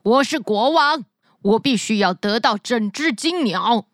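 A woman voicing a greedy, proud king in a children's bedtime story, speaking in a lowered, haughty tone. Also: animated, measured pace, no echo, studio quality. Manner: haughty and self-important. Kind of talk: storybook character voice.

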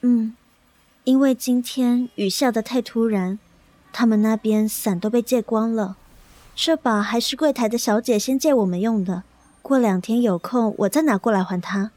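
A woman's voice: fairly high pitch, moderate pace, a little mellow, no room sound, lightly acted. A woman playing a flustered, apologetic young female office assistant in a modern comedy radio drama, hesitant and deferential. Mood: mild, polite.

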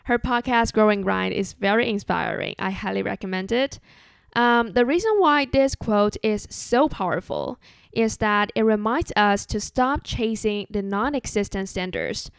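A young woman speaks with a Chinese accent, hosting her own podcast in a calm, earnest and conversational way. Her voice is smooth and clear at a middle pitch. It is recorded close and dry, with no room sound. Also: no room sound. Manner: earnest, measured, relaxed and conversational. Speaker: podcast host. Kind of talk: solo podcast monologue.

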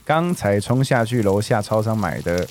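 An actor playing a caring, health-conscious man around forty in a radio drama, gentle and chatty, with Taiwanese Mandarin speech. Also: on the low side, expressive, moderate pace, a little hiss or hum, lightly acted.

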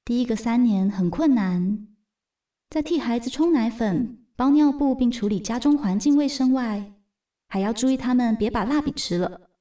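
A woman's voice: fairly high pitch, lively intonation, normal speed, warm tone, recorded from a distance, close to an everyday voice. A woman narrating a radio drama as a wry storyteller, in a scripted storybook style. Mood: wry, composed.

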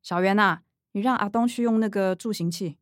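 A woman's voice: medium pitch, brisk, dry recording, studio quality, natural voice. A woman playing a middle-aged, self-righteous, image-conscious daughter in a radio drama, earnest and scolding, with a Taiwanese accent. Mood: indignant.

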